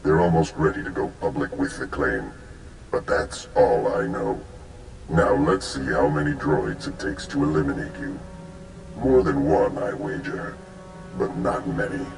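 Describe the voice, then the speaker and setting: An actor playing an imperious alien crime-empire archon in a video game, speaking in a formal, pompous and menacing manner. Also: male voice, very deep voice, sing-song, vocal fry, heavily acted. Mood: cold, menacing. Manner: pompous, deliberate. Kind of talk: video game cutscene acting. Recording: echoey, music underneath.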